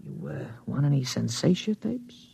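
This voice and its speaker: An actor playing a sly young hotel bellhop with a husky voice and slangy, furtive talk, in 1950s radio drama style. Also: male voice, fairly high pitch, big pitch swings, moderate pace, warm tone, heavily acted. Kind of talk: 1950s science fiction radio acting. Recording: dull vintage radio recording.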